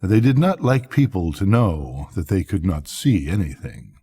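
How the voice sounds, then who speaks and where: Older man, low husky storyteller. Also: sing-song, measured pace, mellow. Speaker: storyteller grandfather. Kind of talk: bedtime story narration.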